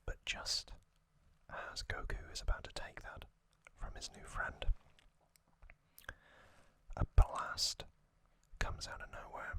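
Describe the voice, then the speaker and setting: Slow, whispering male ASMR host. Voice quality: breathy unvoiced whisper. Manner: gentle, unhurried, halting phrases. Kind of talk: whispered ASMR plot recap. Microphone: close ASMR microphone.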